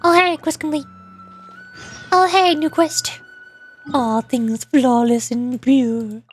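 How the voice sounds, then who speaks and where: A woman's voice: high voice, slow, soft background music. A woman streamer giving chatty, casual commentary as she plays a game. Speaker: streamer, VTuber.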